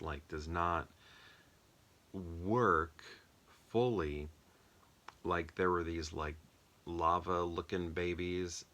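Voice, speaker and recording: A man giving a casual, off-the-cuff film review, rambling and often pausing to search for words. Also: low-pitched, fairly bright tone.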